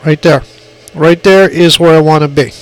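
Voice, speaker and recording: A man's voice: on the high side, steady tone, moderate pace, poor audio. A man giving an informal hands-on tutorial in a plainspoken, emphatic way, repeating his points, on an amateur phone recording. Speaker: radio hobbyist demonstrating equipment.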